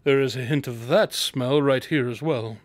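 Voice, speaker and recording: An actor playing a clever, confident detective in a radio drama, with precise, formal and superior diction. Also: male voice, mid-range voice, normal speed, no room sound, natural voice. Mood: self-assured, deductive.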